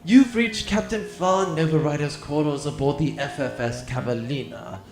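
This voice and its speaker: A man's voice: fairly high pitch, normal speed, echoey room, background noise, natural voice. Man playing a formal pirate answering service. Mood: polite, unhurried. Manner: formal, scripted receptionist patter. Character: polite, cheeky pirate ship answering service. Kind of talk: comedic audio drama acting.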